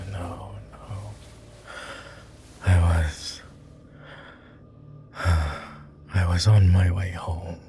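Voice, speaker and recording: A man playing a weary, courtly vampire lord in an ASMR roleplay, murmuring formally and hesitantly with many pauses, close to the microphone. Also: low voice, creaky, exaggerated voice. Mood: weak, confused.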